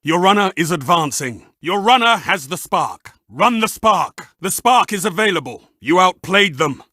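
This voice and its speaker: A man's voice: sits high, lively intonation, unhurried, sharp tone, no echo, clean studio sound, full character voice. A man playing a fervent match announcer in a video game, delivering short, dramatic, exclamatory calls to urge fighters on. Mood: urgent. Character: theatrical, fervent male arena match announcer urging on fighters.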